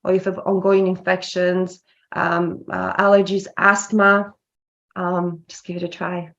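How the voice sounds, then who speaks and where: A woman's voice: on the low side, flat delivery, unhurried, a bit bright, highs cut off. A woman from Slovakia, answering interview questions as a wellness clinic founder, explaining at length and often repeating and restarting her words.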